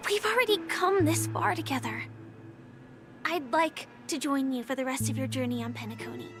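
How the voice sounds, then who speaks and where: A high-voiced young woman from a game. She is gentle, earnest and polite, with music playing behind her. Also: normal speed.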